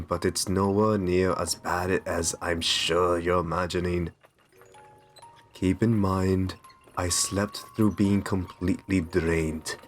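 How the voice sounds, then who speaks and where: A man playing a courteous, possessive vampire master in an ASMR roleplay, soft-spoken, soothing and reassuring with genteel endearments. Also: low-pitched. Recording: music under the voice. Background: background music.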